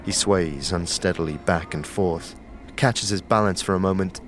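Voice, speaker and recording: A man giving measured, scripted narration for a fantasy audio drama. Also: low voice, a little hiss or hum.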